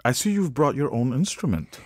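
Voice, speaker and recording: An actor playing a proud, courtly Cuban violin virtuoso in an audio drama, formal and condescending. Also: male voice, medium pitch, sing-song, brisk, a little mellow, no echo, studio quality. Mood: proud, measured.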